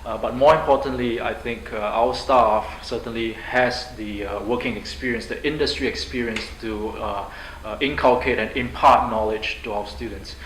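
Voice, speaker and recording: A man from Singapore, a university president answering interview questions in an upbeat, fluent way with frequent fillers. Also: lowish voice. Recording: echoey room, faint noise.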